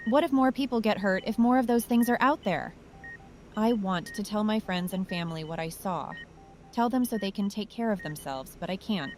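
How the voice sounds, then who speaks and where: A woman's voice: medium pitch, normal speed, darkish tone, a little hiss or hum, natural voice. A woman playing an uneasy, caring hospital nursing sister in a horror audio drama, earnest and worried.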